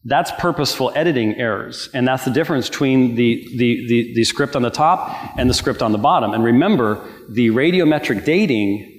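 A man in a live debate, insistent and methodical, pressing point after point and often restarting mid-sentence. His voice sits at an ordinary pitch. The sound carries the long reverb of a large hall. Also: a little fast.

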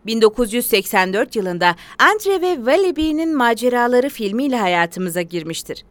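Explainer narrator: female, highly animated intonation, dry studio-like sound, articulate documentary read. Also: no room sound.

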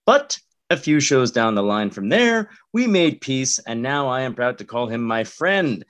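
A man hosting a comedy podcast, speaking deliberately with dry politeness and some halting phrasing. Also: medium pitch, sing-song, a bit bright. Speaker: podcast host. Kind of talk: podcast hosting with comic banter.

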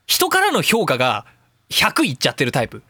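A male radio host with a high, bright voice that swings widely in pitch. He talks in a casual, opinionated ramble, theatrical and self-mocking. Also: huge pitch swings, a little fast, breathy edge, no echo, studio quality. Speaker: professional speaker and audio engineer.

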